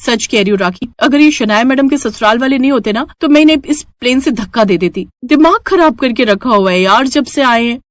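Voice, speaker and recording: A woman voicing an exasperated young air hostess in an animated story, prim and sharp-tongued. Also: a little high, brisk, few pauses, no echo, clean studio sound, natural voice. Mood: annoyed.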